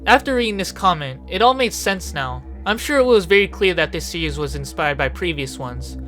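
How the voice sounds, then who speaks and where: A video essayist narrates in a medium-pitched voice. The delivery is informal and opinionated, with casual swearing. Music plays behind the voice. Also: lively intonation, fairly quick, a bit bright. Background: background music.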